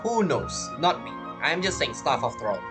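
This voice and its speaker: A woman's voice: low-pitched, very animated, moderate pace. A woman who is not a native English speaker, giving casual game commentary and thinking aloud. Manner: thinks aloud, muttering reactions. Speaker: gameplay streamer. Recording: game music behind commentary.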